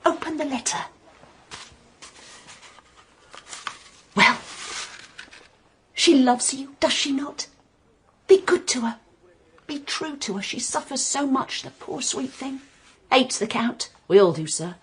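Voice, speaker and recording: A woman's voice: high voice, lively intonation, unhurried, pauses often, husky, heavily acted. A woman playing a sly, insistent serving maid who coaxes and insinuates in a period radio drama. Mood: sly, coaxing. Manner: coaxing, knowing, insinuating.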